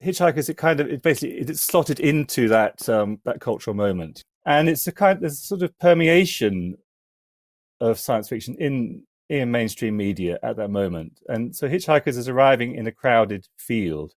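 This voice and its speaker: A man's voice: mid-range voice, animated, moderate pace, no echo, studio quality. A middle-aged man, an academic podcast guest, chatting and reminiscing with hesitant, thoughtful phrasing. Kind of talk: casual reminiscing and discussion.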